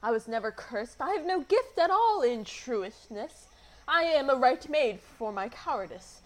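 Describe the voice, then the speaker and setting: A woman's voice: sits high, big pitch swings, a little slow, low-quality recording. A woman acting a timid, wronged young woman, plaintive and earnest, in a Shakespeare radio play. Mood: pleading.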